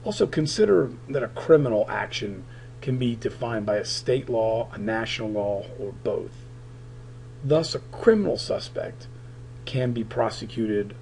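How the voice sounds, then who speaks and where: A male political science teacher giving a recorded screen lecture, his voice raspy while it recovers, talking in a halting way with many fillers. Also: medium pitch, darkish tone, a little hiss or hum, rough recording.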